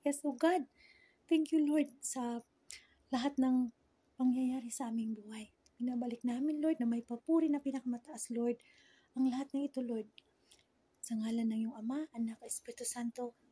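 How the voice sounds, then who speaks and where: Filipino lady doing online devotion, earnest, husky and kinda high voice, close dry phone recording. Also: steady tone, moderate pace, long pauses, dark tone, dry recording. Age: middle-aged. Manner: earnest, pleading, repeatedly addressing God. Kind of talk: devotional prayer and exhortation to viewers. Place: small indoor room. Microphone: phone at arm's length.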